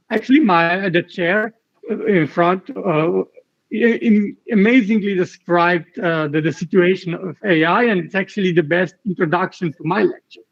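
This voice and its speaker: A man from Slovenia in his thirties gives a keynote lecture over a video call, his voice fairly high. He talks haltingly, repeating words and filling gaps with "uh". The dull, lo-fi call audio muffles him.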